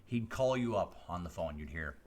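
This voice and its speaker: A man from the Chicago area, a mentalist hosting a live stream, chatting casually with viewers on a close studio mic, rambling with fillers and restarts but slowing down with deliberate pauses. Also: sits low, expressive, darkish tone. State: voice deeper than usual today. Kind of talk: casual chat answering viewer questions. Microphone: close studio microphone.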